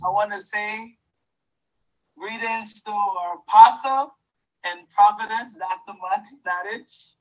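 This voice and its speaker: A woman from Guyana giving a halting, emotional testimony to her church. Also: mid-range voice, distant mic, dull audio. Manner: hesitant, halting, with fillers.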